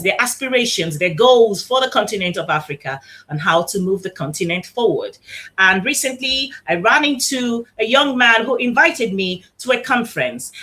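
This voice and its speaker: A woman's voice: mid-range voice, bright, forceful tone. A woman hosting a live online talk show, upbeat and welcoming as she introduces her guests.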